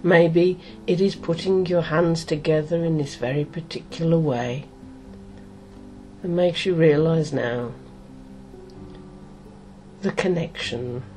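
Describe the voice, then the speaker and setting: A middle-aged woman speaks as a hypnotist, extremely slowly and soothingly, with long pauses between short phrases. Her voice is fairly low and mellow, made for guided relaxation. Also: faint music behind.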